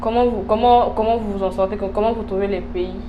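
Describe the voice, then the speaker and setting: Female TV interview host, Congolese French accent, average pitch. Informal, hesitant, code-switching questions, with clear noise behind the voice. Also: steady tone, fast, lo-fi sound. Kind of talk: casual TV interview, French mixed with English.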